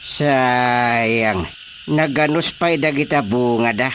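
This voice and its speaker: An actor playing an easygoing, comic rural father in an Ilocano radio drama, with drawn-out phrasing. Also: medium pitch, fairly bright tone, slight background noise. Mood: curious.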